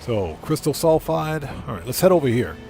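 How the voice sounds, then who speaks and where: A man with a mid-pitched voice hosts a casual game stream. He talks to viewers and muses aloud in a halting, easygoing way. Game music plays faintly behind him. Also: sing-song, gravelly, warm tone. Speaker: livestream host and gamer. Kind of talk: casual live game commentary, chatting with viewers.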